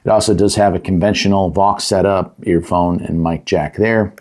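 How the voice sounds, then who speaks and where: Low-voiced, folksy man reviewing gear. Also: steady tone, normal speed, darkish tone. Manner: folksy, chatty, plain-spoken. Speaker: host of a product review channel. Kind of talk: casual unscripted product review.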